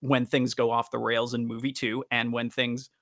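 A man's voice: mid-range voice, flat delivery, moderate pace, a bit bright, dry recording, clean studio sound. A man hosting a casual podcast, talking in wordy, roundabout and slightly formal phrases. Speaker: podcast host.

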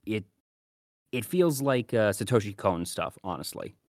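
A man's voice: fairly low pitch, expressive, unhurried, darkish tone, no room sound, clean studio sound. A man hosting a podcast, giving casual, conversational reviews. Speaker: podcast host.

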